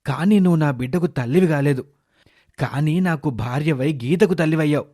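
A man's voice: on the high side, a little fast, mellow, close to an everyday voice. A man voicing a loving, protective middle-aged father, earnest and affectionate, in a moral story. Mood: protective, reproachful.

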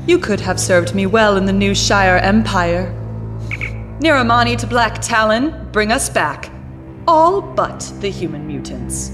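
A woman's voice: medium pitch, big pitch swings, unhurried, in a large hall, music in the background. A woman voicing a scheming, haughty alien princess who gives orders with contempt. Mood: gloating. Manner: haughty, commanding.

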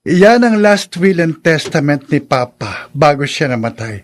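An actor playing a greedy, scheming illegitimate son with falsely polite, coaxing speech in a Filipino radio drama. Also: male voice, on the high side, sing-song, unhurried, no room sound. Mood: smug, firm. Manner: polite coaxing with stammered repetitions.

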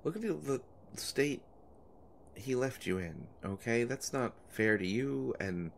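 A man performing an intimate audio roleplay as a nervous, eager-to-please young man who talks in halting, flustered stammers. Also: mid-range voice, lively intonation, creaky, a little hiss or hum. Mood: protective, pleading. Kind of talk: intimate one-sided audio roleplay drama.

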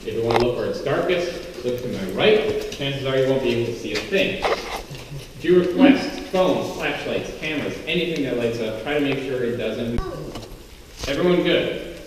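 Male tour guide in a deeply echoing cave. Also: expressive, normal speed. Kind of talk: instructions and commentary to a tour group. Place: inside a show cave. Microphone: distant handheld camera. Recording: very echoey cave, far camera, noisy.

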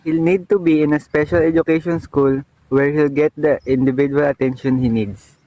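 Radio drama physician, male actor: calm, explanatory, soft-toned, reassuring, very dry sound. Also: fairly high pitch, dry recording, natural voice, rough recording. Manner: measured, explanatory. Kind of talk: amateur radio drama acting.

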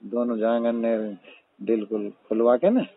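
A man with a husky voice and a Mewati accent, chatting casually in a private phone call. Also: medium pitch, animated, normal speed, no room sound. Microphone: telephone. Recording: muffled, tinny phone call recording.

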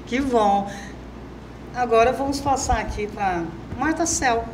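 Brazilian woman hosting, clear background noise. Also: on the high side, slow pace, echoey room. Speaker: podcast host. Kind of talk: informal podcast hosting. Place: small wood-panelled TV studio, indoors. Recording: clearly noisy room ambience.

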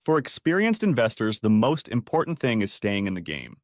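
A man presenting a scripted finance explainer in a didactic, measured manner with rhetorical questions. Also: on the low side, wildly swooping pitch, dry recording, clean studio sound.

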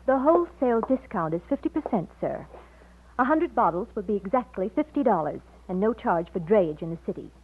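An actress in an old radio romance playing a sweet, eager young woman with a polite, gentle manner. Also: mid-range voice, animated, a little fast, slightly rough. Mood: brisk, polite. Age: young adult. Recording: thin lo-fi old radio recording.

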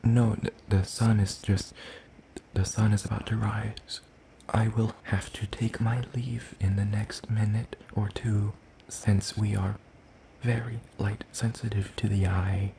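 A man playing a lanky, ancient, world-weary demon in a soft ASMR roleplay, speaking in a hesitant, breathy murmur with formal wording. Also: on the low side, flat delivery, measured pace, a little hiss or hum, low-quality recording. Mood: gentle, fond.

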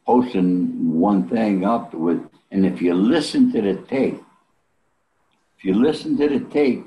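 An elderly man talking casually and bluntly as an interview guest, salty and pausing often, on a video call from a room at home. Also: low-pitched, highs cut off. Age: 70s or older. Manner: blunt, salty, with frequent pauses.

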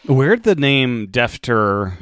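A man hosting a podcast, asking questions in a relaxed, easygoing way. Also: medium pitch, slow pace, no room sound. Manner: relaxed, easygoing, casual phrasing. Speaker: podcast host. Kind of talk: casual interview chat.

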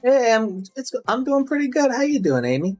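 A young man streaming a game from home on a headset, chatting loudly and casually, swearing and restarting often. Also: very high voice, very animated, moderate pace, soft background music. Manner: loud, rambling, swears freely, many restarts. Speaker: streamer and podcast host. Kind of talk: casual chat while gaming. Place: home room at a gaming desk.

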